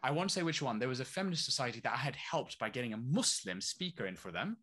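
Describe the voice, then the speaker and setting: Man raised in saudi arabia and east london, bright voice, animated and emphatic. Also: medium pitch, fast. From grew up in Saudi Arabia and East London. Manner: animated, emphatic, rhetorical questions. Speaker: activist guest. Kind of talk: conversational interview.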